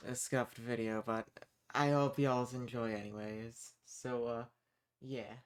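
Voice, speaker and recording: A man's voice: mid-range voice, flat delivery, slow pace, clear, resonant tone. A man giving a casual spoken introduction as an audio roleplay creator.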